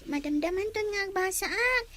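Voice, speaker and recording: A small child in a radio drama, piping extremely high. The little girl is sweet and eager to help, on a clearly noisy, clicky recording. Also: animated, brisk, sharp tone, heavily acted. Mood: eager, pleading. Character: eager, helpful little girl, younger sister. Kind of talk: Ilocano radio drama acting.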